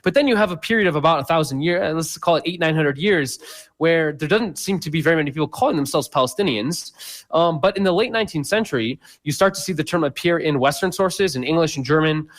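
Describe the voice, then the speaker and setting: A man's voice: a little high, animated, fast talker, fairly bright tone. A man speaking as a guest scholar in a radio interview, explaining at length with many fillers and restarts.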